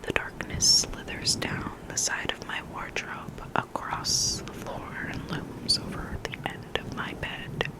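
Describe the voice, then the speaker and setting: An ASMR host reading creepy listener stories in a soft, breathy, intimate whisper with deliberate phrasing. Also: rough recording.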